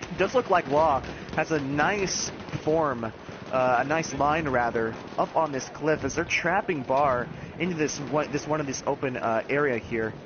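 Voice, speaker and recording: A man giving play-by-play commentary on an online match, talking fast with the odd chuckle. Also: on the high side, lo-fi sound, noisy background. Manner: rapid, animated play-by-play with chuckles.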